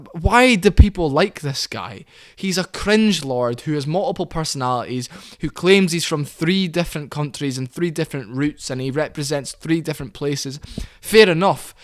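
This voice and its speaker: A man rants about fighters in a heated, opinionated way, slipping into put-on voices when he quotes them. His voice sits on the higher side for a man. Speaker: commentary channel host. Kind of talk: ranting fight commentary.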